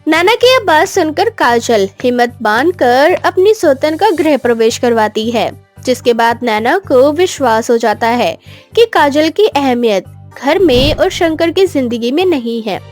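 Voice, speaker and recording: A woman's voice: high-pitched, expressive, a little slow. A woman narrating a scripted moral story as the storyteller. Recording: faint music behind the voice.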